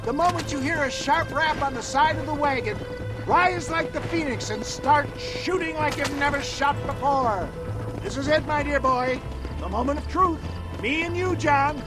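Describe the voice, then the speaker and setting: A pompous, nervous old huckster in a game. His voice is strikingly high and his phrasing florid. Music plays clearly behind him. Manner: grandiloquent. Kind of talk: video game cutscene acting.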